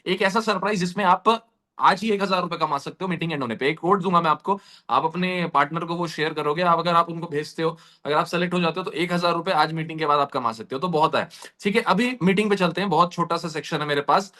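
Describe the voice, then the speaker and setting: A man giving a motivational pitch directly to his online audience, repeating points for emphasis. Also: fast talker, bright, forceful tone. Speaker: presenter of an earning opportunity session.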